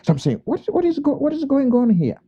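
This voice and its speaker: A man from Uganda, a theologian and priest giving thoughtful interview answers, pausing and restarting and asking himself rhetorical questions. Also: very high-pitched, huge pitch swings. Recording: muffled lo-fi call audio.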